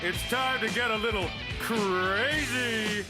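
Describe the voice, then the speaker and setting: A man announcing a show in a showy, hyped stage introduction with drawn-out delivery. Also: high voice. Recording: loud guitar music over voice. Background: guitar music.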